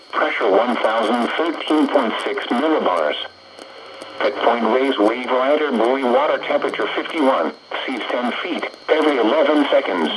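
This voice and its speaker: Synthetic male weather radio announcer, noisy reception. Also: mid-range voice. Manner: formulaic, impersonal, clipped list-like phrasing. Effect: synthetic text-to-speech voice over radio. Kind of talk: scripted weather bulletin reading.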